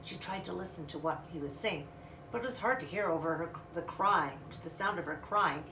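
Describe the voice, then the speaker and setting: A woman's voice: fairly low pitch, fairly quick, bright, forceful tone. A woman reading a children's book aloud expressively, adding casual asides as storyteller. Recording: roomy, distant mic, faint noise.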